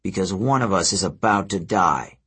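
A man's voice: deep voice, normal speed, a bit bright, husky. Man doing a defiant teenage demigod hero. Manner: firm, defiant.

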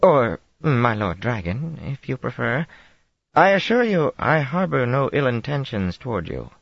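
A dignified sorceress, her voice lifted and set apart from the narrator's own timbre, every word formal and carefully measured, as a man performs her. Dry, slightly dull audiobook sound. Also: medium pitch, very animated, gravelly, slightly rough, no echo, pitched above the performer's own voice, heavily acted. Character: composed, dignified sorceress, middle-aged woman.

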